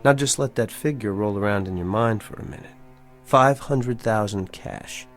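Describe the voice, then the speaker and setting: An actor playing a persistent suitor in a TV drama, talking in a deliberate, persuasive way. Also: male voice, lowish voice, soft background music, lightly acted. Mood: cool, businesslike. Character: persistent, calculating suitor bargaining with his sweetheart's father. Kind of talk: scripted TV drama acting.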